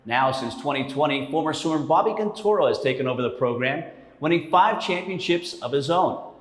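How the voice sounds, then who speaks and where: A man hosting a university sports show, narrating scripted openings and interviewing a coach in a smooth, upbeat presenter manner. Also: a little high, animated, brisk.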